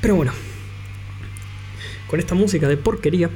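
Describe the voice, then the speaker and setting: A man giving an informal software demonstration, improvising and thinking aloud as he presents. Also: a little high, fairly quick, a little hiss or hum. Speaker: tutorial presenter.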